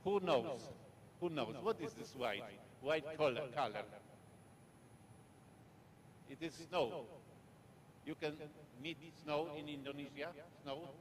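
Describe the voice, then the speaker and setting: Polish-accented male guest lecturer, slow and halting, faint rumble behind. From Poland. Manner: halting, repetitive, short fragmented phrases. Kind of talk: academic lecture with slides. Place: lecture hall.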